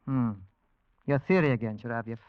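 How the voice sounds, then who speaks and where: An actor playing a skeptical, probing investigator in an old-time radio drama. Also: male voice, mid-range voice, normal speed, smooth, close to an everyday voice. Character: inquisitive, skeptical male investigator. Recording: dull old radio recording.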